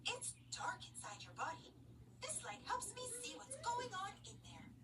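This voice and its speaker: A reassuring lady doctor from a picture book, played by a woman reader. Her voice is very high and lilting as she explains things gently. The recording is distant, with clear background noise. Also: huge pitch swings, measured pace, heavily acted. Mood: friendly, explaining. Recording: noisy, distant home microphone.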